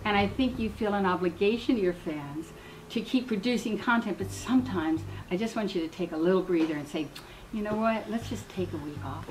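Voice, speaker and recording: A woman's voice: medium pitch, fairly quick, a crowd in the background, rough recording. A woman giving a psychic reading in an encouraging, affirming way. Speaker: psychic reader.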